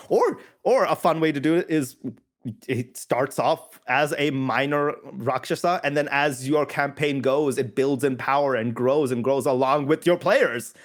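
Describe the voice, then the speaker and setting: A man co-hosting a casual podcast, talking in long rambling turns with restarts and frequent laughter. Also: mid-range voice. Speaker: podcast co-host. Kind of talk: casual chat about tabletop games.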